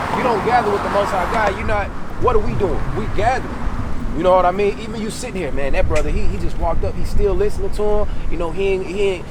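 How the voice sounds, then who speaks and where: A man with a high voice and an American accent preaches outdoors over loud street and traffic noise. He speaks colloquially, exhorting his listener and hammering phrases by repeating them. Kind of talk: impromptu street sermon on scripture.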